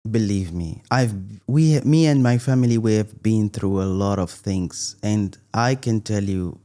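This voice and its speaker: A man's voice: lowish voice, dark tone. A middle-aged man from Tunisia, a guest in an interview, talking earnestly with many restarts and an emphatic "believe me". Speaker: guest, content creator.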